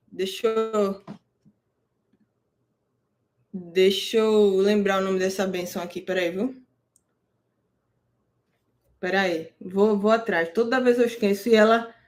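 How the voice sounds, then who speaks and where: A woman host talking through crypto and interest-rate news in a relaxed, conversational way, explaining jargon plainly and often checking in with her listeners. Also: medium pitch, moderate pace. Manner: explains terms plainly for beginners. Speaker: crypto market commentator. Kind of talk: casual market analysis to camera.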